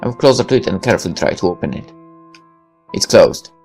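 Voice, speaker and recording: Casting: male narrator for a visual novel, creaky low-end edge, very expressive, soft music underneath. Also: rapid-fire. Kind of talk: dramatic first-person visual novel read-aloud.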